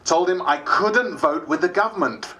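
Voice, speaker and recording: A man's voice: high-pitched, moderate pace, sharp tone. A man playing a wry, candid diarist who is new to politics, narrating dramatised diary entries.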